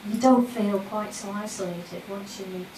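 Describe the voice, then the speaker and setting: A woman's voice: medium pitch, normal speed, darkish tone. Plain-spoken woman on a distant mic. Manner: plain-spoken, a little hesitant. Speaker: panel member. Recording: echoey room, distant mic, background noise.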